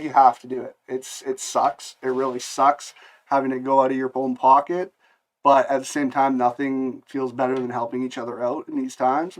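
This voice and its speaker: A man's voice: mid-range voice, poor audio. Easygoing male podcast host chatting. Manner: easygoing, friendly banter. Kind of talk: casual interview chat.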